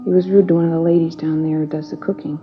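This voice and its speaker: A woman's voice: sits low, little pitch movement, fast talker, faint music behind, lightly acted. An actress playing a worried, earnest teenage schoolgirl who answers police questions in a hesitant, chatty way, in 1950s radio drama style. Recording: dull old radio broadcast with hiss.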